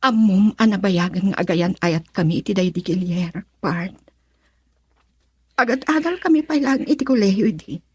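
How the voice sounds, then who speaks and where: An actress playing a troubled, remorseful young wife in an Ilocano radio drama, with emotional, melodramatic delivery. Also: female voice, medium pitch, normal speed, a little mellow, slightly rough, dry recording, close to an everyday voice. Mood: remorseful, hurt.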